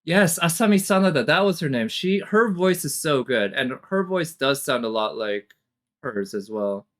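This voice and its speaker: A woman's voice: low voice, expressive, normal speed. A woman streamer giving casual reaction commentary, speculating and thinking aloud as the thoughts come. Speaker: streamer reacting to a game trailer. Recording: music behind the voice. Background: game trailer music.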